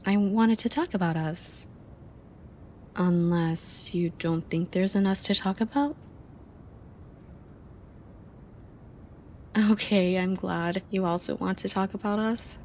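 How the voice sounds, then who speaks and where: Woman acting a soft, mellow, affectionate young friend. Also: medium pitch, measured pace, slight background noise, close to an everyday voice. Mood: tender, nervous. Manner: soft, playful, expressive. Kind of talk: intimate ASMR roleplay acting.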